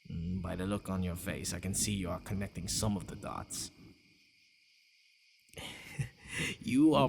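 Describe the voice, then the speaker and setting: A man playing a centuries-old vampire lover, tender, possessive and flustered, halting and sighing in an intimate ASMR romance roleplay with night insects faintly behind him. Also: low voice, noisy background. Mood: amused, musing. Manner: halting, stammering, breathy sighs.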